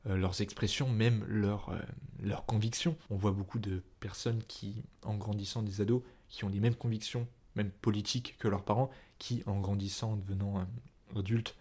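A man's voice: lowish voice, darkish tone. Casual young man hosting a podcast. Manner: informal, chatty, digressive, self-interrupting. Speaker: podcast host. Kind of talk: casual solo podcast monologue.

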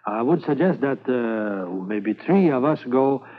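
A man plays a charming, fearless French Mountie, his French accent thick and his English halting, stumbling over words with many pauses. He holds a middle pitch. The sound is thin and phone-like, from an old radio transcription. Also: lively intonation, natural voice. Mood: planning. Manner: halting broken English full of "uh". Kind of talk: 1950s radio drama acting.

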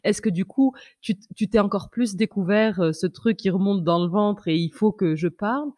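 A friendly interviewer in a relaxed conversation, asking open questions at a mid pitch. The voice sounds encouraging and is recorded dry and close, with no room echo. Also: normal speed. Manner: friendly, encouraging, brief acknowledgements.

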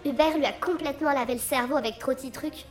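A woman's voice: high-pitched, fast talker, soft background music, close to an everyday voice. A woman playing Santa's outspoken young daughter, a slangy, indignant striker, in a comic audio drama. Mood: indignant, conspiratorial. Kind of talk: comic audio fiction acting.

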